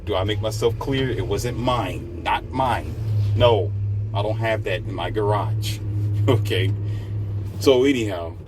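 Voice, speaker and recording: Give me a low-pitched man telling a rambling, slangy story on his podcast. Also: measured pace, background noise. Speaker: podcast host. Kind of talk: casual monologue, storytelling.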